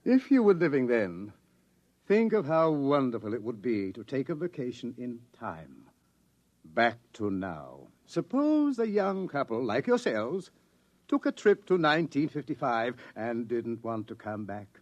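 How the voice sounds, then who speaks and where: A suave, menacing government hunter in a vintage radio drama. His mid-pitched voice swings widely as he insinuates and toys with his listeners in mock-polite, theatrical phrases. The sound is dry and close. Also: sing-song, measured pace, no room sound, highs cut off. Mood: insinuating. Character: suavely menacing male government searcher from the future, toying with his quarry. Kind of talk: 1950s radio drama acting.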